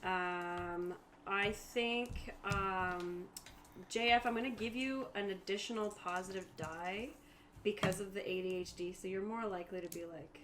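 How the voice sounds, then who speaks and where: A woman casually narrating a tabletop roleplaying game and explaining rules, with an animated way of talking. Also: mid-range voice, a little slow, background noise. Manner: animated, laughs readily. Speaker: game master and player in a tabletop game.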